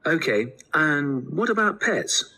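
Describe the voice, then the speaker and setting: An actress playing a helpful, businesslike homestay office clerk asking questions in a scripted test dialogue. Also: female voice, deep voice, big pitch swings, takes it slowly, bright, forceful tone, slightly rough, exaggerated voice, rough recording.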